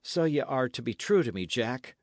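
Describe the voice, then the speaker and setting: A man voicing a rough, commanding young squire who speaks forcefully in old-fashioned words. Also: medium pitch, sing-song, normal speed, no echo, clean studio sound, lightly acted. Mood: gruff, teasing.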